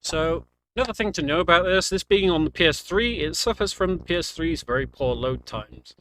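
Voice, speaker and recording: A man's voice: on the high side, animated, a little fast, a bit bright, a little hiss or hum, low-quality recording. A man giving casual, explanatory commentary on a video game walkthrough, talking the viewer through as "we". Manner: explanatory, speaking as "we". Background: game music.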